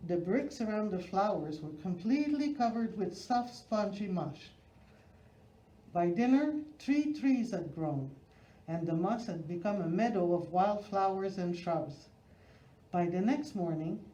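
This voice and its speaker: A woman tells a children's story in a mellow, soft-edged voice at a medium pitch. She reads expressively, phrase by phrase, leaning on key words. The recording is roomy and slightly dull. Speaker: storyteller reading a children's book. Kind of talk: expressive read-aloud of a children's picture book.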